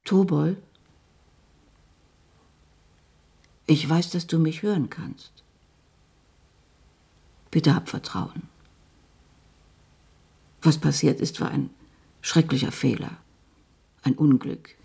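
A woman playing an earnest, imploring scientist in a science fiction radio drama, with a husky, creaky voice and short measured phrases. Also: deep voice, dark tone, full character voice. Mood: pleading, regretful. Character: earnest, pleading female scientist coaxing a distrustful being.

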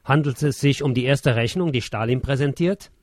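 A man reading a first-person memoir as scripted narration, in a measured, sober way. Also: medium pitch, over music.

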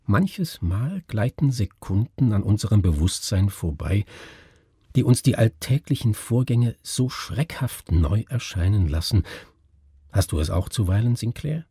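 A brooding, oversensitive man, acted out by a male reader, confides uncanny thoughts in a very husky, dark voice. He searches intensely for words, with big swings in pitch, as in a dramatic storybook reading. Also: big pitch swings, a little slow, no room sound, clean studio sound, exaggerated voice. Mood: musing, mysterious.

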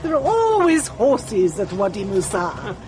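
A woman's voice: medium pitch, huge pitch swings, background noise. An actress playing a bossy, self-important middle-aged lady politician with an upper-class English accent in a radio drama. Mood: bossy, authoritative. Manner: pompous, brisk, commanding.

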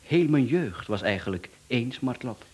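Husky, mysterious, insistent Flemish visitor. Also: male voice, medium pitch, expressive, normal speed, darkish tone. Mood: bitter, insistent. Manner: polite but evasive, insistent. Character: mysterious, insistent, down-on-his-luck male visitor. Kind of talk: radio play acting.

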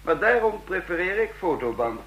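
An actor playing an ageing, hard-of-hearing cryptography chief in a Dutch radio drama, dryly ironic and condescending, sometimes hesitant. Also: male voice, high-pitched, sing-song, moderate pace, a bit bright, husky, exaggerated voice, low-quality recording. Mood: dry, evasive. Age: older. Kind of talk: Dutch radio drama dialogue and first-person narration.

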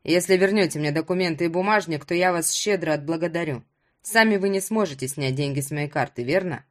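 Woman playing an impatient, proud man, pitched low. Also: fairly quick, lightly acted. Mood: demanding. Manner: demanding, sardonic. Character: impatient, proud, wealthy stranded man demanding his way out.

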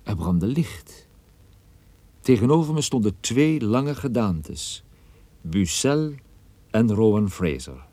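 A world-weary detective in a radio drama. His voice sits rather low and is recorded dry. He narrates with dry irony and a downcast, wry temper. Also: big pitch swings, mellow, dry recording, exaggerated voice. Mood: gloomy, reflective. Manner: dry, ironic, measured storytelling. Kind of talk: radio play narration and dialogue.

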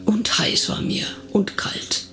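A man with a gravelly voice narrating a radio drama in the first person, reminiscing in a conversational way. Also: slow pace, bright, forceful tone, faint music behind.

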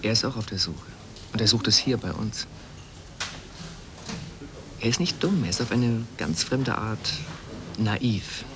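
Man playing wistful intellectual, fairly low voice, musing, probing, radio drama, noisy ambience. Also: a little slow, long pauses, close to an everyday voice. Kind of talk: German radio play acting.

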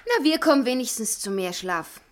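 A woman's voice: a little high, lively intonation, normal speed, lightly acted. An actress playing a plucky, level-headed captive woman in a radio crime drama. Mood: resolute. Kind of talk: 1970s German radio crime drama acting.

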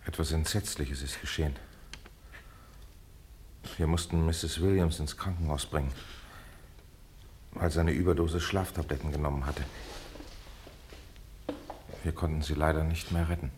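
A male actor playing a grave, steady doctor in a German radio drama, sober and measured, with a husky voice. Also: very deep voice, a little hiss or hum. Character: grave, steady doctor consoling a bereaved woman.

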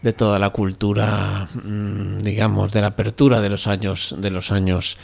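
A man's voice: lowish voice, little pitch movement, moderate pace, gravelly, darkish tone. A man co-hosting a casual book discussion, hesitant and often correcting himself as he searches for words.

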